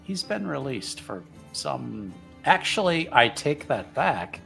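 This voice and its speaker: A man voicing a polite, tactful bearer of bad news in a tabletop roleplaying game, halting and careful. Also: mid-range voice, big pitch swings, vocal fry, fairly bright tone, soft background music, exaggerated voice. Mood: halting, grave.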